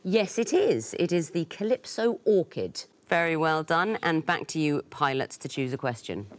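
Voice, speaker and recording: A woman's voice: low voice, sing-song, moderate pace. A woman hosting a television quiz show, reading clues and teasing contestants with dry wit. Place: television studio.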